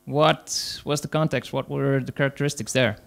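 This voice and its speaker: Gravelly Dutch-accented man giving a talk. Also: medium pitch, fairly even intonation. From Netherlands. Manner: hesitant, many fillers, pauses. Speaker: conference speaker, agile coach. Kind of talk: conference talk.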